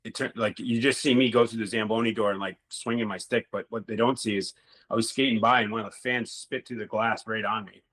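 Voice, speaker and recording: A man's voice: lowish voice, steady tone, a little fast, fairly bright tone. A middle-aged man, a former hockey player, telling rambling stories in a casual interview and swearing now and then.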